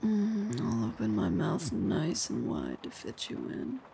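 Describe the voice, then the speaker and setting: A woman speaking in an intimate whispered audio roleplay, mostly soft whispers with low murmured hums, in a coaxing, praising tone. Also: wildly swooping pitch, unhurried, gravelly, a little hiss or hum.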